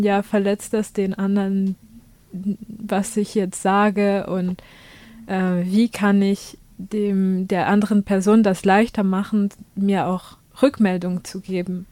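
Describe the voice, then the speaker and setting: Slow, even-voiced woman reflecting. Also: medium pitch, dry recording. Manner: measured and thoughtful. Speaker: discussion participant. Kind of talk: reflective discussion.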